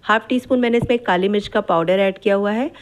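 A middle-aged woman talks viewers through a home recipe in a patient voice-over. She explains every step in a steady, instructive way and goes back over amounts. Her pitch sits in the ordinary middle for a woman. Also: fast, a little hiss or hum. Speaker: cooking channel host. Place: home kitchen.

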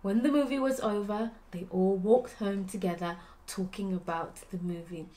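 A woman's voice: mid-range voice, fairly even intonation, normal speed, dark tone. A young woman storyteller narrating a puppet show for children. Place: small plain room indoors.

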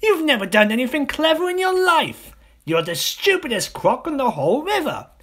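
Small scornful crocodile for a storybook, very high shrill voice, jeering, swooping delivery. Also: male voice, very animated, normal speed, a bit bright, full character voice. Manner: mocking, exclaiming.

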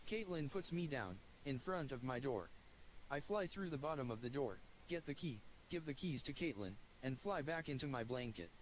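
Man narrating a visual novel, steady read, mid pitch, faint hiss. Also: rough recording. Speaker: gaming YouTuber. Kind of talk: reading a visual novel aloud.

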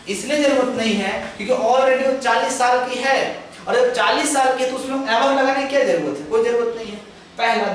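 Teacher in an echoey classroom. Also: male voice, extremely high pitch, recorded from a distance, slight background noise. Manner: explains step by step, drawing out example sentences slowly; mixes English phrases into Hindi explanations. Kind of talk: classroom grammar lecture at a chalkboard. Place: classroom with a chalkboard. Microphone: far camera. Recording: echoey room recorded from afar.

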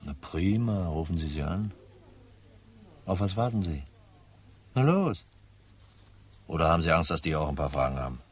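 An actor playing a tough, wry detective, terse and sardonic, in a hardboiled crime radio play. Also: male voice, extremely low pitch, expressive, moderate pace, long pauses, breathy edge, full character voice. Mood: menacing, mocking.

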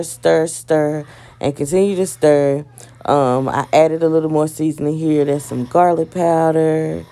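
A woman chats her way through a home recipe, easygoing and talkative. Her voice sits low and dark, with a gravelly fry running under it. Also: a little hiss or hum. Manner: relaxed, chatty, talks viewers through steps. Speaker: home cooking vlogger. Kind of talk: casual cooking vlog, voice-over narration. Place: home kitchen.

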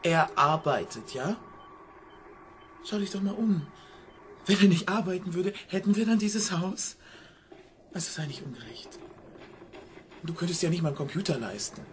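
A woman's voice: fairly low pitch, animated, moderate pace, long pauses, slight background noise, natural voice. An actress playing a worried middle-aged mother in a crime radio drama, hesitant, insistent and often repeating herself. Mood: reproachful. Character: worried mother searching for her missing banker partner.

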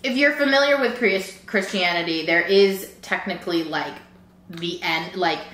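A young woman chatting over a meal with her friend, emphatic and quick to laugh. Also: fairly low pitch, fairly bright tone, reverberant, rough recording. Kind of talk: casual chat while eating. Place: bright room indoors at a table.

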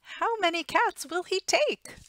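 Slow-paced American woman reading to children. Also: very high voice. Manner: expressive, deliberate, simple storytelling for young children. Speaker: storytime reader. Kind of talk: reading a children's picture book aloud. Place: small room at home. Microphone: headset.

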